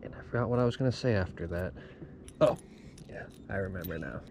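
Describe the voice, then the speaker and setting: Casual young male fishing vlogger, outdoors, action-cam sound with clear background noise. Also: sits low, a little fast. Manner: casual, chatty, excited on catches. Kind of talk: casual fishing vlog chat. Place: outdoors on a pond in a small boat. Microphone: action camera on chest.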